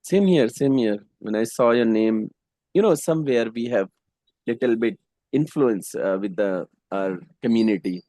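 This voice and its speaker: A man speaking in a relaxed, casual way, pausing often and filling gaps with "you know". His voice is mellow and dark. The recording is dry, with no room sound. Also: medium pitch, no echo. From India. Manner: relaxed, hesitant, frequent "you know" fillers. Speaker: English conversation partner. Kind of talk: casual conversation practice.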